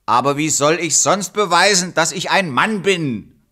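An actor playing a cheeky, naive, boastful clown in a fairy-tale radio play, exclamatory and clownish. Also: male voice, on the high side, lively intonation, a little slow, pushed and bright, full character voice. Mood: eager, insistent. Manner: exclamatory, clownish, nonsense catchphrases.

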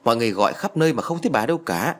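A man's voice: medium pitch, lively intonation, very fast, lightly acted. A man voicing an earnest, worried younger male village neighbour who explains things plainly and hurriedly in an audio story. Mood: concerned, explaining.

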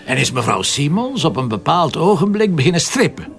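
A sceptical Flemish police commissioner in a radio crime drama. His voice sits a little high, and he presses his questions dryly and insistently, with music behind him. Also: big pitch swings, a little fast. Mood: insistent, probing.

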